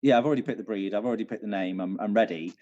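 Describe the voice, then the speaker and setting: A man's voice: lowish voice, very fast, warm tone. A man hosting a casual live quiz from home, chatty and repeating questions for listeners, on a dull livestream recording. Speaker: quiz host, theatre venue manager.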